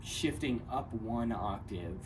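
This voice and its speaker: A young man casually explaining his student engineering project step by step, with relaxed fillers, in a university lab. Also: medium pitch, takes it slowly, background noise. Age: young adult. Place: large university electronics lab, indoors. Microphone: phone camera across the room. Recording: distant mic in a lab. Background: guitar sounds.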